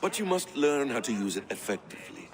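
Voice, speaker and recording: An actor playing a calm, wise mentor of a secret brotherhood, speaking in a measured, instructive way in a game cutscene. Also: male voice, medium pitch, a crowd in the background, lightly acted. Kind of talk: video game cutscene acting.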